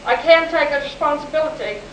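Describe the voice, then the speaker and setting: A woman acts a brusque, grudging government official in a sci-fi radio play. Her voice is high, picked up from a distance in an echoey room with faint noise. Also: fairly quick, fairly bright tone. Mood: brusque, reluctant. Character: Ames, brusque government security undersecretary, reluctant official. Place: live convention performance, recorded from the room.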